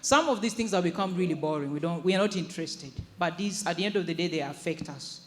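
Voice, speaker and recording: A woman's voice: lowish voice, lively intonation, fast talker, big-room sound. A young woman with a Ugandan accent asking a spontaneous question from the audience. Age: young adult. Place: conference hall.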